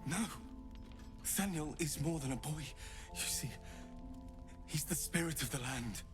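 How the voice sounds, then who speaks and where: A man playing a haunted, desperate video game character, halting and pleading after being lost in shadows. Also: on the high side, music in the background, natural voice. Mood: pleading, distraught.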